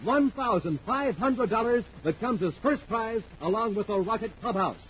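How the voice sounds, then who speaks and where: A man's voice: high-pitched, lively intonation, moderate pace. Dramatic 1950s radio announcer man, muffled recording. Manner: emphatic, dramatic showman delivery. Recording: muffled old radio recording, faint noise.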